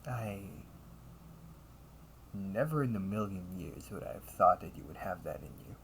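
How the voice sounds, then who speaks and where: A man voicing a cunning, condescending villain and older brother in an audio roleplay, taunting and theatrical, often dropping toward breathy near-whispers. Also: low-pitched, expressive, moderate pace, fairly bright tone, slight background noise, exaggerated voice. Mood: amused, condescending. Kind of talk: audio roleplay monologue addressed to the listener.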